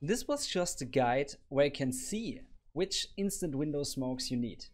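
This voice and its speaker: A man's voice: a little high, expressive, a little slow, a little mellow, faint music behind. A man in his thirties, a gaming coach, talking through a game tutorial in an upbeat, explanatory way from a home office on a studio mic. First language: German. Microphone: boom arm studio mic.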